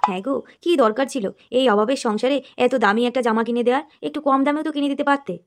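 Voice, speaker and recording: A woman's voice: high voice, quick pace, faint music behind, natural voice. A woman voicing a nagging, thrifty old grandmother and housewife in a comedy cartoon, full of complaints. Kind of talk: Bengali comedy cartoon dialogue.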